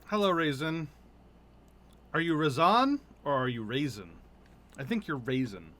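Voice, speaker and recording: A man's voice: a little high, expressive, unhurried, fairly bright tone. A man streaming a game, chatting casually as he comments and reads dialogue, with game music behind him.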